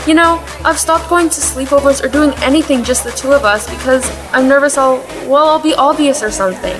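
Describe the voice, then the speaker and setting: A woman's voice: high-pitched, a little fast, over music, lightly acted. A young woman playing a lovesick, anxious and blunt teenage girl in a contemporary audio play. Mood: anxious confiding. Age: teens to young adult.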